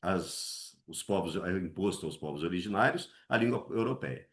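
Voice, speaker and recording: A man's voice: animated, normal speed, fairly bright tone. A man from Brazil teaching an exam essay lesson, asking himself questions and answering them, with many tag questions. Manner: swings his pitch high to act out voices.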